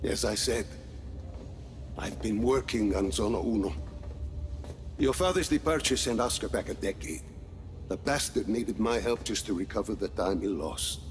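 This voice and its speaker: An actor playing an aging male weapons scientist in a video game cutscene, speaking in a measured, precise, explanatory way. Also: lowish voice, close to an everyday voice. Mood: calm.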